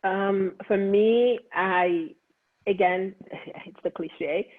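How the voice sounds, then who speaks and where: A man's voice: high voice, steady tone, extremely slow. Haitian-accented man on a tinny line. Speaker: OB-GYN physician. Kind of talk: panel conversation.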